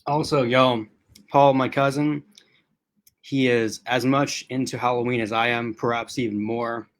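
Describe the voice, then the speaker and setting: A man hosting a relaxed livestream, talking straight to his chat viewers in a loose, rambling way. His voice is strained from being used a lot, and he stops often to clear his throat. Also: medium pitch, measured pace. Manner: chatty and rambling, addressing chat commenters. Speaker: host.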